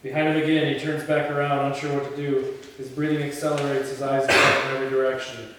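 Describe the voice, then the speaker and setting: A man's voice: medium pitch, flat delivery, fast. A man reading a film script aloud at an informal table read, with blunt, casual remarks to the crew. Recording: echoey room, distant mic, faint noise.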